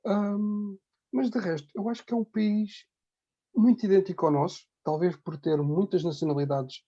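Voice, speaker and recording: A man's voice: high-pitched, fairly even intonation, normal speed, dark tone, muffled recording. A man with a European Portuguese accent talking casually as an interview guest, rambling and repeating his phrases. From Ribatejo, Portugal. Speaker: interview guest, emigrant hospital worker. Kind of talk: casual conversational testimony.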